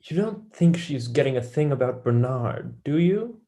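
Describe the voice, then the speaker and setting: A man's voice: mid-range voice, expressive, moderate pace, mellow, close to an everyday voice. A young man, a student actor, playing a wry, dry-witted mathematician in a staged play reading. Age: young adult.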